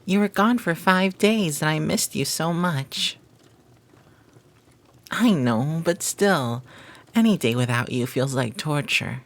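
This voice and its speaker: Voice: mid-range voice, expressive, slow pace, long pauses. A performer playing a flirty, devoted maid who teases and gossips in formal, flowery phrasing, in a scripted romantic audio roleplay. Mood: affectionate, teasing.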